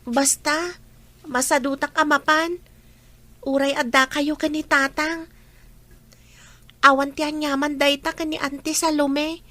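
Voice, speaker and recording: Actress as stubborn emotional Ilocano woman, high pitch, sharp bright tone, radio drama. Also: fairly even intonation, unhurried, pauses often, no echo. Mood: defiant.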